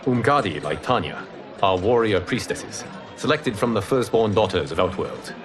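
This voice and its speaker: A man playing a calm, wise, solemn mentor in a video game, explaining things in measured, formal speech. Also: on the low side, music in the background, lightly acted. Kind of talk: dramatic video game acting.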